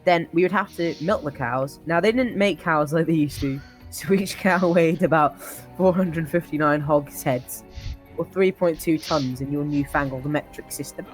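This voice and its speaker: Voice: mid-range voice, normal speed, faint music behind. A person reading a comic tall tale as an old-timer recalling a harsh childhood, often stumbling and breaking into laughter.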